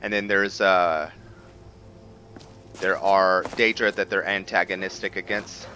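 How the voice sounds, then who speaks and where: A man giving casual gameplay commentary on a roleplay, thinking aloud and often pausing to find his words. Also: low voice, fairly even intonation, sharp tone, a little hiss or hum. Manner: musing, thinking aloud with frequent hesitations. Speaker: gaming video host.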